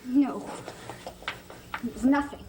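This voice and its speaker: A woman's voice: high voice, takes it slowly, noisy background. An actress playing a guarded, earnest young woman in a gothic soap drama, speaking plainly. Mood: guarded, dismissive. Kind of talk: 1960s-70s gothic TV soap acting.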